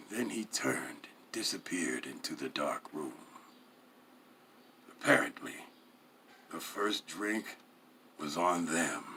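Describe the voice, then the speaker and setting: A man reading a first-person story aloud in an animated, expressive way, with emphatic swells and hushed drops. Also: low-pitched, slight background noise. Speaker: story reader.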